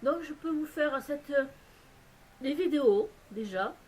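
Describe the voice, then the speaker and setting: A woman's voice: high-pitched, lively intonation, slow pace, darkish tone. A woman from France with a French accent, chatting casually to camera in a rambling, hesitant way with many fillers and restarts. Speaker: vlogger. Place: home in a Belgian village.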